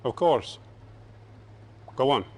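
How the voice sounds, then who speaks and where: A ruthless Soviet dictator in a strategy game cutscene. He speaks at a middling pitch, curt and commanding, with cold menace in his orders. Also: animated, slow pace, slightly rough. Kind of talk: video game cutscene acting.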